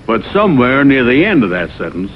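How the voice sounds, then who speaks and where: A man as a dim, animated cartoon sidekick. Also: mid-range voice, close to an everyday voice. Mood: doubtful. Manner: slow-witted, folksy, chuckling. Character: fat, dim, good-natured male cartoon animal sidekick. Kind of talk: 1960s TV cartoon voice acting. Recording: dull vintage TV audio, faint noise.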